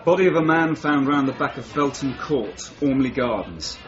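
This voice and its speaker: Man playing an earnest, measured detective sergeant. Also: mid-range voice, lively intonation, natural voice. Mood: matter-of-fact. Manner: measured, matter-of-fact. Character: earnest, dutiful detective sergeant, the chief's deputy. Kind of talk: naturalistic radio drama acting. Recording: dull radio drama recording, faint music.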